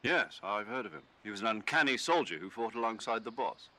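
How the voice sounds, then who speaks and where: An actor playing an earnest, formal older male military commander in a video game, explaining things in a measured way. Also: fairly low pitch, expressive, bright, forceful tone, a little hiss or hum, full character voice. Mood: grave, explanatory.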